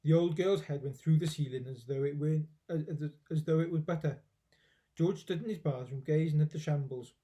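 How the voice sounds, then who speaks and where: Guy reading a kids book out loud, soft dark tone, bit higher voice, expressive. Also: normal speed. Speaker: children's story reader. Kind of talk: expressive storybook narration.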